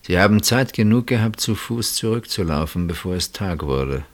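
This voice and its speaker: A man's voice: sits low, lively intonation, moderate pace. An actor in a German radio play, playing a suspicious husband who confronts his sister-in-law with insistent, dramatic questioning. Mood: cold, insinuating.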